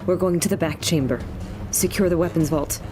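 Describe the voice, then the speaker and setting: An actress playing a brave, protective mother in a monster-hunting family in a radio drama, speaking briskly. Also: sits low, over music, lightly acted. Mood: urgent.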